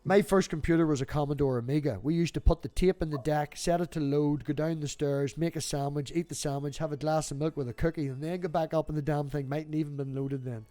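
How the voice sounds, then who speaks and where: Male, middle-aged, slightly high register, casual and sweary livestream talker who spins anecdotes. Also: steady tone, fast, few pauses, warm tone. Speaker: livestream host.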